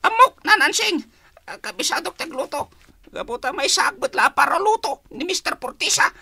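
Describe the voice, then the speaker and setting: A woman's voice: high voice, expressive, moderate pace, pushed and bright, dry recording, heavily acted. A woman playing a whiny, deferential young household helper in a comedy radio drama, with exaggerated pleading delivery. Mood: eager, obedient.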